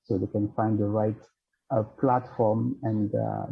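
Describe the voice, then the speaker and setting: A man with a French-accented English voice, answering a panel question in a measured, formal way while searching for words. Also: fairly low pitch, little pitch movement, creaky, husky, narrow, tinny audio. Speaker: financial centre representative on a panel.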